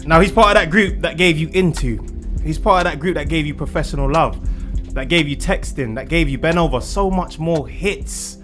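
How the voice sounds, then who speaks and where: A man's voice: on the high side, normal speed, a bit bright. A man hosting a casual music interview in a studio. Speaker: interviewer and host.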